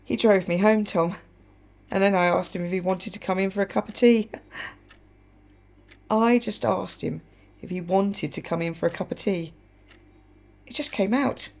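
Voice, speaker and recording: A woman's voice: mid-range voice, moderate pace, gravelly, long pauses, a little mellow, lightly acted. An actress playing a frank, wry, grieving woman in a naturalistic radio drama, speaking reflectively and repeating phrases for emphasis. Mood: subdued, reflective. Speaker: radio play actor.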